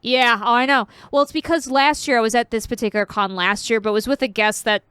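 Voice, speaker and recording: Smooth-voiced chatty woman. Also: a little high, steady tone, quick pace, fairly bright tone, no room sound. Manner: chatty, meandering storyteller. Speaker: podcast co-host. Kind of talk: casual chat.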